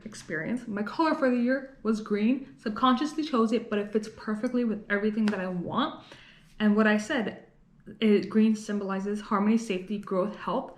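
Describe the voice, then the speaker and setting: A woman's voice: medium pitch, roomy sound. A young woman from Los Angeles talking casually to her camera in a rambling, chatty vlog, full of fillers and little laughs. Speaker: vlogger. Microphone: camera mic.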